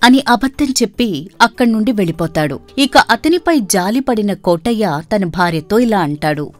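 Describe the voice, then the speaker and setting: A woman narrating a scripted animated story as a storyteller. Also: medium pitch, moderate pace, faint music behind.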